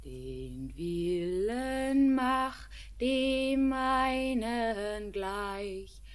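An actress playing a dreamy figure who delivers a lulling love verse in a lyrical radio drama style. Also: female voice, fairly high pitch, animated, very slow, clean tone, faint music behind.

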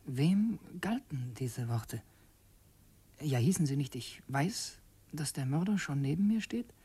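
A man playing an anxious young conspirator's inner thoughts in a radio drama, in a hushed, tense, breathy whisper. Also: mid-range voice, exaggerated voice.

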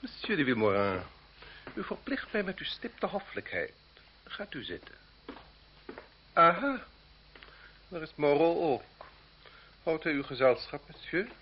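An actor playing a stately, coldly courteous marquis in a Dutch radio play, pompous and ceremonious. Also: male voice, on the high side, very animated, a little slow, long pauses, a little hiss or hum. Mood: coolly condescending. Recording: muffled old radio play recording.

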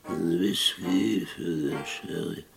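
A man acting in a German radio western as a broken, whisky-drinking sheriff, telling his tale in a gravelly, heavy, brooding way. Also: sits low, slow, crowd noise, full character voice. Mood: bitter, brooding. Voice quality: gravelly, rough. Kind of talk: German radio play monologue, mid-century western.

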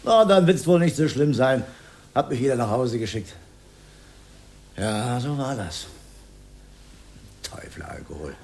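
An actor playing a rambling, pedantic old socialist freethinker with an Austrian accent, in a theatrical radio drama style. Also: male voice, medium pitch, lively intonation, measured pace, pauses often, darkish tone, slight background noise. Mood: bitter reminiscence. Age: older. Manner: rhetorical, declamatory, given to rambling reminiscence.

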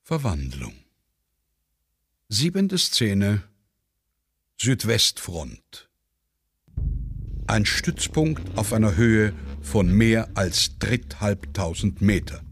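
A man reading stage directions as scripted dramatic narration, in a measured, matter-of-fact manner. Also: on the low side, very animated. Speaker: reader of a play, speaking the stage directions.

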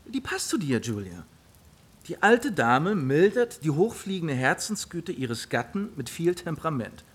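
Eager bossy young gent, highish voice, opinionated, radio play style. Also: male voice, fairly bright tone, breathy edge, heavily acted. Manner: insistent, opinionated. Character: young gentleman, bossy, enthusiastic eldest brother organising amateur theatricals. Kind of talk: German radio drama acting.

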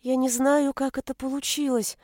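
A woman's voice: sits high, little pitch movement, normal speed, darkish tone, no room sound, clean studio sound, voice pushed up, close to an everyday voice. A woman voicing a sensitive little girl in an audiobook, plaintive, childlike and pleading. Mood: tearful. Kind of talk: audiobook character voice.

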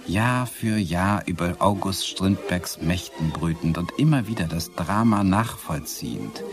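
An actor playing a wistful, bookish man who recounts his past in a reflective, literary way in a radio play. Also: deep voice. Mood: pensive. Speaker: actor playing the first-person narrator.

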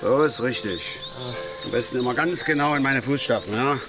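An actor playing a gruff, suspicious middle-aged angler in a radio play, blunt and colloquial, lecturing like a know-it-all. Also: male voice, mid-range voice, fairly quick, over music, close to an everyday voice. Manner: blunt, clipped, colloquial nonstandard German, lecturing. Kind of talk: naturalistic radio play acting. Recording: clear outdoor nature background noise with birds.

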